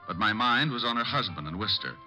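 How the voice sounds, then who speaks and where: Low-voiced wisecracking private eye. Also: male voice, expressive, quick pace, bright, forceful tone, faint music behind, poor audio. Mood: wry narrating. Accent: American. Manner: wry, slangy patter. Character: wisecracking, breezy male private detective. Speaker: lead actor and narrator. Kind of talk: 1940s radio detective narration.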